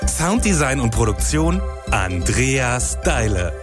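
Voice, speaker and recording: Slow, lively male announcer over music. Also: mid-range voice. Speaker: closing announcer. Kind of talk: scripted radio drama credits. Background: hip hop music.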